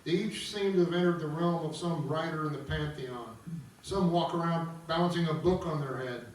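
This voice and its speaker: A man's voice: fairly high pitch, normal speed. A man narrating at a table read, reading stage directions aloud. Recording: echoey room, distant mic, background music.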